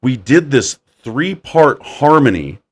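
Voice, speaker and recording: A man with a deep voice hosting a casual chat, animated as he tells stories and acts out quotes. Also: slow pace. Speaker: podcast host.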